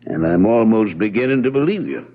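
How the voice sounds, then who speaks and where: An actor playing a reserved, evasive American attorney in old-time radio drama style, hedging in a measured, matter-of-fact way. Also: male voice, fairly low pitch, expressive. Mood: grave. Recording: tinny, narrow-band vintage radio broadcast.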